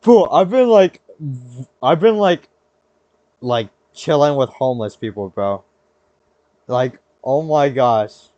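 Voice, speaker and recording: A young American man talks in a dry-sounding space with an average-pitched male voice. His delivery is loose and conversational, full of slang. He rambles and interrupts himself as he works through a thought, like a podcast host chatting with friends. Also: animated, slow pace, long pauses, warm tone, no echo, muffled recording. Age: young adult. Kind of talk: casual chat.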